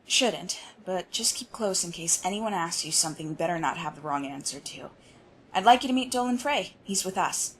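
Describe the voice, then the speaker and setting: A woman's voice: lowish voice, lively intonation, moderate pace, slightly rough, poor audio. A woman playing a confident, no-nonsense female agent in a fan audio drama. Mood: assured.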